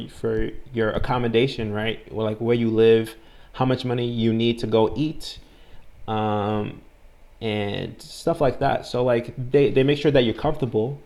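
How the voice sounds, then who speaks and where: A young man in his twenties chatting as a conversation tutor over a video call. His voice sits at an ordinary middle pitch, and he talks in a loose, relaxed way, full of fillers and restarts. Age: 20s. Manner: relaxed, heavy use of "like" and "you know", frequent restarts. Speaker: English tutor. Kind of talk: casual conversation lesson.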